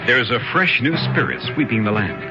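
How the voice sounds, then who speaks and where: A man's voice: medium pitch, expressive, fairly quick. A man reading a scripted 1970s radio commercial as an announcer. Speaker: advertisement announcer. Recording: dull old radio broadcast, loud music.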